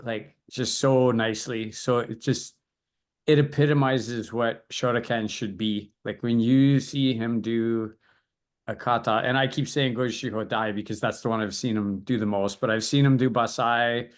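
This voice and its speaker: Man, medium pitch, casual conversational panelist. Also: fairly even intonation, normal speed. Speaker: karate practitioner, podcast panelist. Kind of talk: casual chat.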